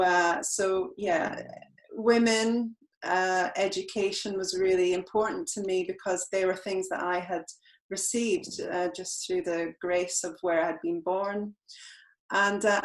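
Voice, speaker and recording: Low-voiced British woman, echoey room. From United Kingdom. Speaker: education charity representative. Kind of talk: informal presentation. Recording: roomy, echoing sound.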